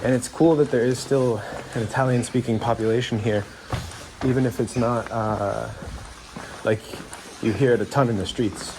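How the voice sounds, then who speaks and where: A man's voice: mid-range voice, steady tone, normal speed, noisy background. Easygoing male travel vlogger outdoors. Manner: chatty, easygoing, many fillers. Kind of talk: casual talk to camera while walking. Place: outdoors in old town streets.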